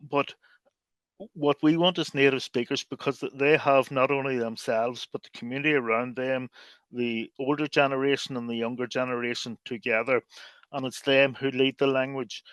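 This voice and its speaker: A man's voice: medium pitch, a bit bright, smooth, no echo. Thoughtful man with a dry, close sound. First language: English. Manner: thoughtful, with frequent fillers and restarts. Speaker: lexicographer and guest. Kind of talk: podcast interview.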